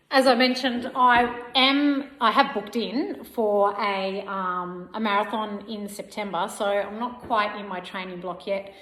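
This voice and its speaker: A woman in her forties talks slowly and casually to her camera, sharing running tips with plenty of ums along the way. Her voice sits a little high. The recording is roomy, with the camera mic picking up a clear echo. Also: fairly bright tone. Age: 40s. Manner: unhurried, chatty, frequent ums. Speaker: running vlogger. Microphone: camera mic without a clip-on mic.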